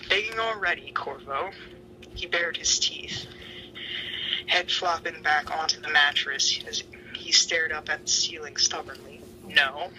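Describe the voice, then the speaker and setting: A man giving a dramatic, breathy reading of fan fiction, with occasional stumbles. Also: fairly high pitch, flat delivery, unhurried, pushed and bright, slight background noise, poor audio.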